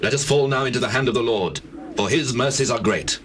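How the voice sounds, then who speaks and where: A man's voice: mid-range voice, fairly quick, fairly bright tone, close to an everyday voice. An actor playing a penitent, grave king of ancient Israel in a dramatized scripture, speaking in solemn, pleading archaic phrases. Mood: troubled. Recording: noisy old cassette tape.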